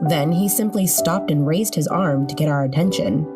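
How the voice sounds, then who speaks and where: A woman's voice: sits low, moderate pace, loud music behind the voice. A woman reading scripted narration as the narrator of a horror podcast.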